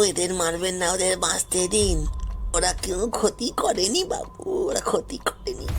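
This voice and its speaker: A performer voicing a poor, wronged forest tribesman in an audio story, pleading anxiously and repeating phrases insistently, with deference. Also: medium pitch. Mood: pleading, bitter. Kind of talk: dramatised audio story acting.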